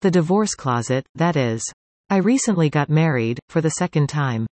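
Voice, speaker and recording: A woman in her forties from Canada reading a personal essay aloud, with careful, clearly enunciated speech. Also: deep voice, lively intonation, normal speed, a little mellow, dry recording. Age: 40s.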